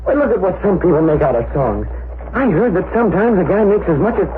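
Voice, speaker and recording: A naive, gushing young man in an old radio play. His voice is high and swings up and down boyishly, through a tinny, noisy vintage recording. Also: very animated, quick pace. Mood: excited, eager. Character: eager, naive young man dreaming of songwriting riches. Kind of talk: 1930s-40s radio acting.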